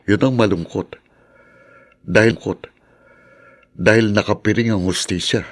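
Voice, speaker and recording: A man's voice: fairly low pitch, fairly even intonation, unhurried, close to an everyday voice. A man acting in a radio drama as a melancholy, wronged man who speaks ruefully and earnestly. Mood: rueful, wounded. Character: wronged, melancholy man, former campaigner, lamenting injustice.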